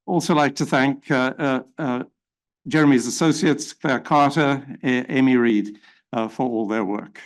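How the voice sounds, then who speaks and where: A man's voice: mid-range voice, steady tone. South African man giving formal welcome. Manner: measured, with frequent uh fillers. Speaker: symposium chair.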